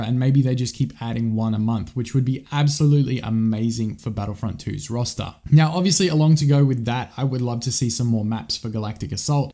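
Young guy hosting a gaming channel, casual news chat, soft mellow tone, mid pitch. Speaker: gaming YouTuber, channel host. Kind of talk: casual scripted-free gaming news commentary. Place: indoor voice-over for gameplay footage.

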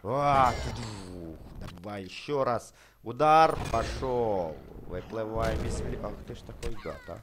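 A man giving excited, chatty game commentary for children, exclaiming, repeating words and addressing his viewers. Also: lowish voice, slow pace, few pauses, noisy background. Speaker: gaming channel host.

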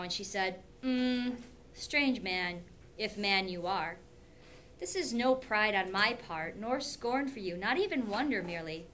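Bright, high-voiced American woman teaching. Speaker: English teacher. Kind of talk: classroom lesson with reading aloud. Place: school classroom with whiteboard. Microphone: camera a few feet away.

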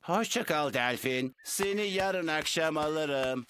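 A man's voice: fairly high pitch, animated, slow pace, a bit bright, faint music behind. A man voicing a courteous donkey father in a children's cartoon, polite and formal. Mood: matter-of-fact. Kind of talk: Turkish children's cartoon dub.

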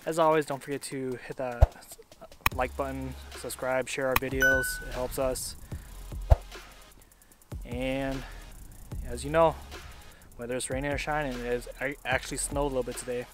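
A man's voice: mid-range voice, moderate pace, pauses often, over music. A young man with a Minnesotan accent, casually talking to his viewers from a riverbank, with lots of ums and uhs. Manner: relaxed, chatty, many fillers. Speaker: fishing vlogger. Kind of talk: casual outdoor fishing vlog. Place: outdoors by a river. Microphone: head-mounted action camera.

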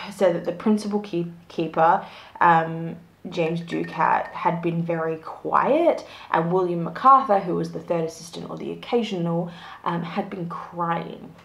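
Gravelly, chatty young woman. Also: lowish voice. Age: young adult. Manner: chatty, rambling, many fillers and likes. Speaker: true crime vlogger. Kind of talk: casual storytelling with reading aloud. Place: small home room with shelves of collectibles. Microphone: fixed camera at desk. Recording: echoey room.

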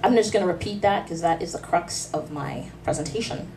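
A woman's voice: fairly low pitch, brisk, a little mellow, slight background noise. A woman in her thirties, a spoken word artist and lector, giving a faith talk with poetry in a small pub room, speaking earnestly with rhythmic rhetorical questions and light asides. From Canada. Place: small dim pub room, seated before a live audience. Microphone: handheld mic held close. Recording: distant camera mic in a pub.

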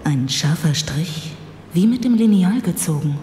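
A woman's voice: fairly low pitch, lively intonation, unhurried, mellow, a little hiss or hum. A woman playing a composed, thoughtful police detective, talking conversationally in a German crime audio drama. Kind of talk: German radio drama dialogue. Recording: echoey hall, music behind.

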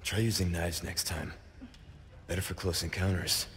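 A man playing a stoic, wry action-hero agent in a video game cutscene, with deadpan delivery. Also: sits low, normal speed. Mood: dry, guarded. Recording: long reverb, faint background noise.

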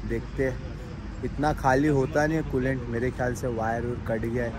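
A man's voice: medium pitch, fairly even intonation, normal speed. Man vlogging casually amid noise. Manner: informal Hindi mixed with English phrases. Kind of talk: casual travel vlog, addressing viewers. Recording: noisy outdoor recording with vehicle sounds.

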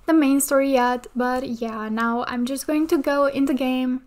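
A woman's voice: high-pitched, fairly even intonation, normal speed, darkish tone. A woman hosting a gaming video, giving casual commentary on updates and sometimes reading announcements aloud. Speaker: gaming video creator and host. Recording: voice over background music. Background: background music.

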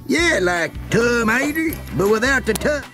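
Very high, goofy cartoon vehicle. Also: male voice, animated, measured pace, music in the background. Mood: friendly, eager.

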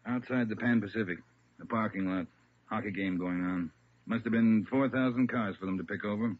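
An actor playing a grim, brisk police captain in a vintage radio drama, authoritative, clipped and factual. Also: male voice, on the low side, narrow, tinny audio, natural voice. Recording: thin, hissy old radio recording.

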